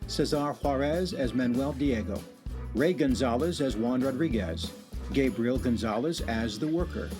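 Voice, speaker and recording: Wry male radio host, music under. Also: medium pitch. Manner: wry, ominous sign-off. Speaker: host and writer-director. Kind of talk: scripted radio-show narration.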